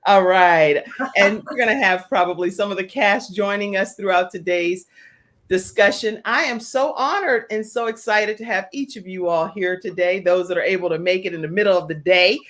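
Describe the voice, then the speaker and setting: A woman's voice: medium pitch, moderate pace, fairly bright tone. An American woman hosting a live online talk show in an enthusiastic, welcoming manner.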